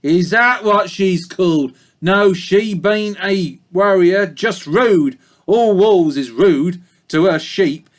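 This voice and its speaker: A man's voice: high-pitched, slow, pitched above the performer's own voice. A man voicing an old female ewe who speaks in a broad rustic country dialect, drawling and hesitant, as he reads a children's book aloud. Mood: wistful.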